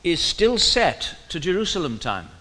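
A man's voice: on the high side, big pitch swings, a little slow, bright, forceful tone, in a large hall. A man with a Welsh accent preaching a sermon in a conversational way, often asking his listeners questions.

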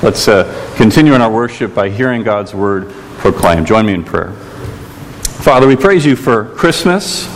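A man from Chicago with a Chicago accent, preaching a sermon as a guest preacher, telling stories gently and earnestly with some "uh"s. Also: on the low side, animated, measured pace, big-room sound, a little hiss or hum, rough recording. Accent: American, Chicago. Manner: storytelling, gentle and earnest, with filler "uh"s. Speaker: guest preacher, theology lecturer. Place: church.

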